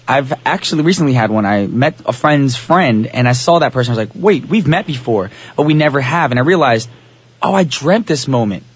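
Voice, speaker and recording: A young man with a husky voice, hosting a radio show in a casual, playful way from a studio mic. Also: mid-range voice, animated, fairly quick. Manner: easygoing, playful, says y'all.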